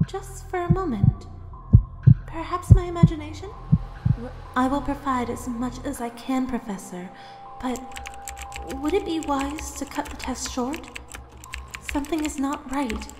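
A woman's voice: sits high, reverberant, in a large hall. A woman voicing an earnest, analytical assistant to a professor in a formal, cautious manner.

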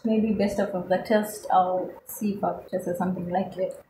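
A woman's voice: on the low side, steady tone, normal speed, warm tone. A woman from Uganda, a guest tasting a dish at a home table, speaking hesitantly. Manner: hesitant, starts with "um". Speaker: guest taster. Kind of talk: casual food review chat. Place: home dining room, seated at a table. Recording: echoey room with faint noise.

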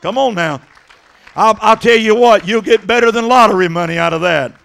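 A middle-aged man preaching an impassioned Pentecostal sermon into a handheld microphone, exhorting and swinging between conversational asides and shouts. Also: sits high, moderate pace, gravelly, clean tone. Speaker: evangelist preaching. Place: church sanctuary stage at a pulpit.

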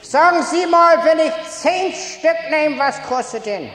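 A man's voice: very high-pitched, animated, measured pace, sharp tone, pitched above the performer's own voice, heavily acted. A man voicing an old village granny, cheeky and haggling, on stage. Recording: echoey live hall recording, audience noise.

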